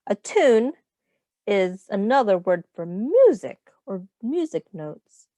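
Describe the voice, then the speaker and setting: Woman, teacher, picture-book read-aloud, animated intonation, unhurried pace, simple explanatory phrasing, questions to children, dry sound. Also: mid-range voice, no echo.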